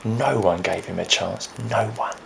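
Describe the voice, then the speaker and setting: A man performing a dramatic stage monologue as a grieving, frustrated friend of a lost musician, speaking earnestly with halting repetition. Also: sits low, creaky, exaggerated voice. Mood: frustrated sorrow. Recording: faint noise behind the voice.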